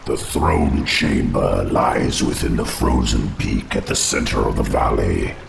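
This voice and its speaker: An ancient undead insect lord from a fantasy game, rumbles at an extremely low pitch. The voice is heavily gravelly and hollow, with slow, solemn phrasing. It echoes under music. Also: male voice, a little hiss or hum, exaggerated voice. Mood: grave, advising. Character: ancient giant undead insect king, grave loyal guide. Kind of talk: fantasy video game acting. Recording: echoing voice under loud music.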